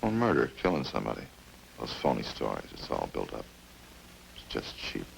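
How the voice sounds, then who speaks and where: Casting: cold-blooded confessed killer, bottom-of-the-range gravelly voice, casual and matter-of-fact, vintage radio drama. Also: male voice, a bass voice, slight background noise, full character voice, poor audio. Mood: resigned. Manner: flat, casual, unhurried confessing. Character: matter-of-fact, remorseless male drifter confessing to killings. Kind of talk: old-time radio crime drama acting. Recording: old radio recording with music behind.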